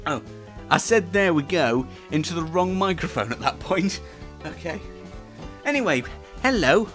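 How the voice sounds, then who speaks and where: A man presents a homemade radio show in a casual, rambling manner. His voice sits fairly high and swings about animatedly. He keeps gently putting himself down as he goes. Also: lively intonation, a little slow. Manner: rambling, self-deprecating. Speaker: host of an online radio show. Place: home studio and downstairs rooms. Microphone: switches between wireless and studio microphones. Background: background music in places.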